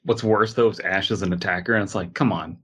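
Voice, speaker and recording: Lowish-voiced man riffing on a podcast. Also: steady tone, fast, fairly bright tone, breathy edge. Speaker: podcast co-host. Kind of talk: casual joking group podcast chat.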